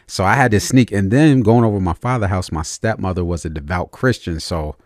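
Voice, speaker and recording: A man's voice: low voice, fairly quick, darkish tone, dry recording, studio quality. An American man working as an interviewer, chatting casually in an agreeable, slangy manner. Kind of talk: casual conversation.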